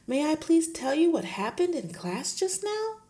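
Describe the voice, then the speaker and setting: A woman voicing a gentle, earnest young teacher who pleads softly and politely. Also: high-pitched, sing-song, unhurried, pitched above the performer's own voice.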